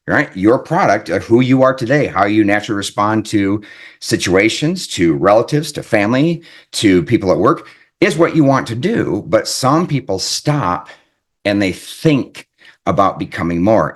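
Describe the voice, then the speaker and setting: Earnest male author, slightly low voice, reflective talk that circles back on its points. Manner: earnest, repeats points, tags lines with "right?". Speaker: author and life coach. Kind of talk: reflective self-help talk.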